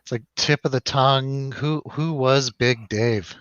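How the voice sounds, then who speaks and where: Slow-talking, jokey man hosting casually. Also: medium pitch, fairly bright tone, clear, resonant tone, no echo, highs cut off. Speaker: host of a film review show. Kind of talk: casual film chat.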